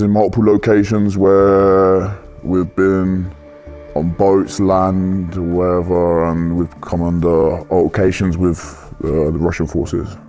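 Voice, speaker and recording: A man's voice: low-pitched, dark tone. A man in his thirties, a soldier, giving a matter-of-fact interview testimony. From United Kingdom. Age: 30s.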